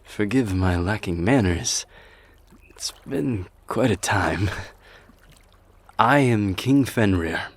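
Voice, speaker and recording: Male actor as formal, polite fantasy king, low-pitched and unhurried, faintly hesitant, for ASMR roleplay. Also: animated. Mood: courteous, impressed. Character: courteous, reflective warrior king commanding a fleet. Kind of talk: ASMR audio roleplay acting.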